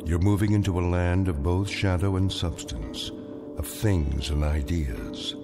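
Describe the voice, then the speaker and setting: A man giving a solemn, deliberate dramatic show opening as narrator. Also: sits low, loud music behind the voice.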